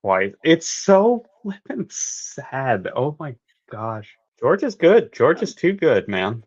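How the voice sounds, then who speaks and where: A woman chatting as a panel guest about fan theories in a playful, theatrical storytelling way with dramatic pauses. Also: rumbling low, sing-song, darkish tone, breathy edge, muffled recording. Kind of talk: casual fan theory discussion.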